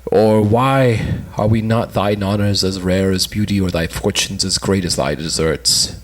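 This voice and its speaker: A man's voice: sits low, fairly even intonation, unhurried. A man playing a lovesick, melancholy suitor, earnestly declaiming in an amateur cold reading of an old stage play. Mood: yearning, sorrowful.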